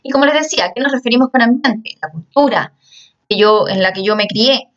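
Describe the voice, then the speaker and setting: A woman's voice: a little high, a little fast, far from the mic, muffled recording. A woman teaching a class, explaining step by step and checking in often with her students. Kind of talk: recorded class lecture.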